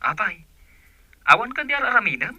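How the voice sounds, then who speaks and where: Young male suitor, high-pitched, very sharp tone, dry close sound, Ilocano radio drama. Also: big pitch swings, fairly quick, breathy edge, dry recording, highs cut off, heavily acted. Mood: casual, teasing.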